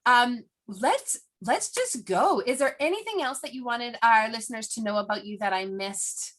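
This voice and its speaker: A woman's voice: fairly high pitch, animated, moderate pace, fairly bright tone. A woman hosting an interview podcast, eager and upbeat, with frequent fillers and restarts. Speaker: podcast host.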